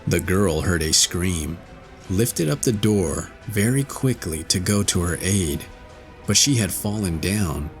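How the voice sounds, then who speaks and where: Man, lowish voice, measured storybook narration, scripted audiobook reading, music. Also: slightly rough. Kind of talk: scripted fairy-tale narration.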